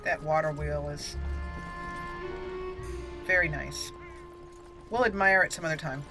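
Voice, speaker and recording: A woman's voice: sits low, a little slow, over music. A woman hosting a roleplay let's play, chatty and playful, thinking aloud as she goes. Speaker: gaming host.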